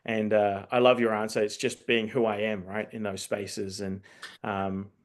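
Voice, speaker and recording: A man's voice: on the low side, level tone, normal speed. A man who grew up in New Zealand, hosting a casual interview and thinking aloud as he asks long, circling questions. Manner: rambling, thinks aloud, circles back to rephrase. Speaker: host, coach developer.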